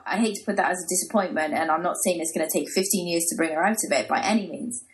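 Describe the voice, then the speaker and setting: An Australian woman, a fitness coach guest, talking directly and emphatically with a croaky voice. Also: lowish voice, low-quality recording. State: croaky, losing her voice. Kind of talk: casual podcast chat.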